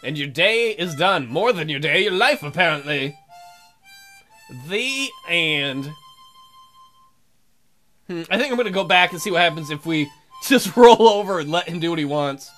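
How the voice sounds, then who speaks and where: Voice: mid-range voice, animated, normal speed, pauses often, a bit bright, soft background music. A let's play host reading game text aloud with bawdy, joking, playful asides.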